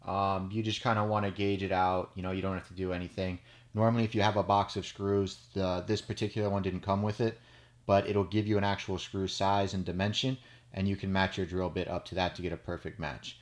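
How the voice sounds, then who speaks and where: Low-pitched male coach, relaxed how-to tone, recorded on a camera mic in a small echoey room. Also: a little fast. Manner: casual, explanatory, frequent "okay?" check-ins. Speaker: judo coach. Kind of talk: how-to demonstration. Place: small storage room with mats, indoors.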